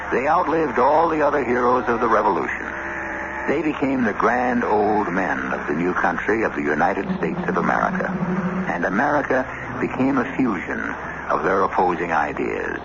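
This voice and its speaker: Deliberate male radio host, very noisy recording. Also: low voice, big pitch swings, gravelly, lo-fi sound. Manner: deliberate, conversational storytelling with pauses. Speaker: host and narrator of a radio drama. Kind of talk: scripted radio narration.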